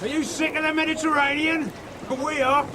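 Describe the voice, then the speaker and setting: An actor playing a rough, hearty pirate seaman who hails newcomers with salty talk in an audio drama. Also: very high-pitched, level tone, background noise. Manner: hailing, salty, brisk. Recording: music and sea sounds behind.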